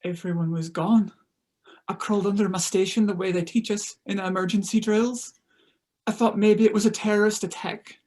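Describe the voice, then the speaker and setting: A woman's voice: mid-range voice, steady tone, normal speed, a little mellow, lightly acted. A woman at a table read playing a frightened young pregnant chef in her twenties. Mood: shaken.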